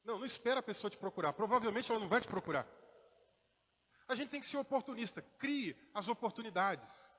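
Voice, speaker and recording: A Brazilian man preaches with a mixed accent that leans toward Minas Gerais, his voice sitting fairly high for a man. He talks to the congregation in a relaxed, conversational way and acts out short quotes. The sound carries the long reverb of a church hall. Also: fast talker, slightly rough. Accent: mixed Brazilian Portuguese, partly Minas Gerais. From Brazil, born in Rio, raised in inland Minas Gerais. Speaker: pastor. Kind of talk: sermon.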